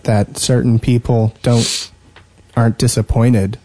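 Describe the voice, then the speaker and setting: A man's voice: medium pitch, flat delivery, slow, warm tone. A man co-hosting a podcast in a casual, playful way. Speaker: podcast co-host. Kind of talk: casual, joking podcast chat. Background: music.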